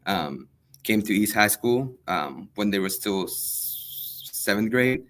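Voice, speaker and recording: A young man from El Salvador, a native Spanish speaker, answering interview questions as a high school history teacher, earnest and hesitant with many pauses and fillers. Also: expressive, a bit bright, slight background noise. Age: young adult.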